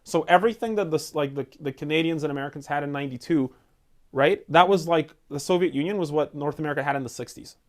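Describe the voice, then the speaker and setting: A man in his forties, from Ukraine with Russian as his first language, telling his story as a guest in a casual interview and acting out quoted lines. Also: on the high side. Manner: fast, animated, acts out quotes. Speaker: guest, entrepreneur.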